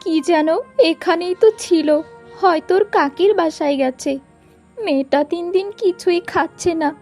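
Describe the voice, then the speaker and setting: A woman's voice: very high-pitched, normal speed, a little mellow, faint music behind. A woman voicing a worried, loyal poor village wife and mother in a cartoon. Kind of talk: cartoon fairy tale voice acting.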